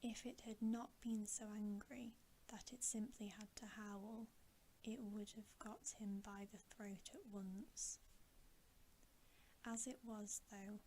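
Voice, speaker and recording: A woman reading a story aloud in a soft, breathy ASMR whisper, in a measured way. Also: medium pitch, a little hiss or hum, rough recording. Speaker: ASMR storybook reader, channel host.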